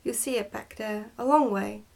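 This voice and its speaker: A woman's voice: a little high, fairly even intonation, moderate pace, vocal fry, a little mellow. A woman reading the part of a bossy, smug goblin father in a storybook, in a pompous explaining manner. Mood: gruff, dismissive.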